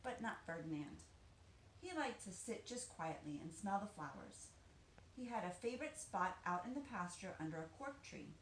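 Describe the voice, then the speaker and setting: A woman reading a children's picture book aloud, animated and deliberate, with dramatic emphasis. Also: medium pitch. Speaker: storybook reader, teacher. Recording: roomy echo, faint background noise.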